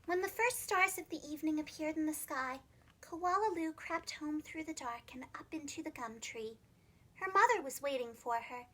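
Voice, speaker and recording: A woman reading a children's storybook aloud like a preschool teacher, expressive and dramatic, speaking carefully for young listeners. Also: sits high, normal speed, rough recording. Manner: animated, dramatic, gentle enunciation for young children.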